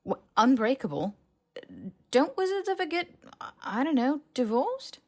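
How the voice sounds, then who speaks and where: A woman's voice: sits high, big pitch swings, moderate pace, a little mellow, voice pushed up. A woman voicing an evasive, casual young man in an audiobook, with a hesitant way of talking. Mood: puzzled, alarmed. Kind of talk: audiobook character dialogue.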